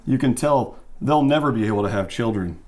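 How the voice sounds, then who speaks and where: A man with a deep, raspy voice co-hosting a casual chat, making wry, joking remarks. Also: expressive, a little fast, a little mellow. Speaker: co-host.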